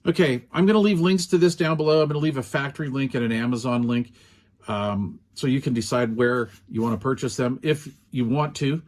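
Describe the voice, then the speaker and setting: A man's voice: medium pitch, a little fast. Easygoing man reviewing gear. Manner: relaxed and rambling, many fillers. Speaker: radio reviewer. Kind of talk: casual product review.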